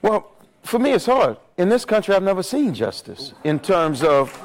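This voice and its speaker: A man with a loud voice speaking on stage as a panelist, making emphatic points and repeating words as he builds his argument. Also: high voice, sing-song, moderate pace, noisy background. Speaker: panelist on justice reform. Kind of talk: impassioned panel talk. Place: on stage at a live event.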